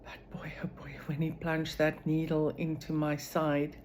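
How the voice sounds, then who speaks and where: Low-voiced, unhurried woman. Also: completely flat delivery, darkish tone. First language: Afrikaans. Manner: slow, measured, with frequent pauses and fillers. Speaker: vlogger sharing her recovery. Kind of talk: personal video diary.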